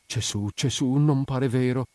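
A man's voice: mid-range voice, expressive, normal speed, mellow, dry recording, studio quality, lightly acted. A man voicing a pious, sighing widow, a homely and evasive neighbour woman in a story. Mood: dismayed. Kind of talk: dramatic audiobook character reading.

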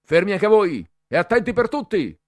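A man's voice: sits high, sing-song, normal speed, dry recording, voice pushed up. A man voicing a bullying young male cadet who barks harsh, sneering orders and mocks the boys. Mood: barking, bullying. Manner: barked orders, sneering shouts.

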